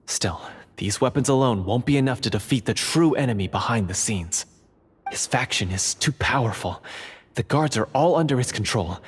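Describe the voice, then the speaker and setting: A man playing an earnest undercover dungeon guard in a fantasy video game, speaking gravely, formally and urgently. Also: mid-range voice. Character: earnest undercover male dungeon guard, secret monster hunter. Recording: long reverb, faint noise.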